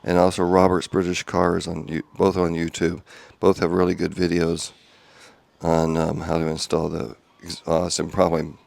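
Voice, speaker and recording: A man giving a casual update video from his home garage, talking quietly in a monotone with many ums and restarts, on a small clip-on mic. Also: low voice, normal speed, gravelly, no room sound. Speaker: home car restorer. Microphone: small clip-on wireless mic.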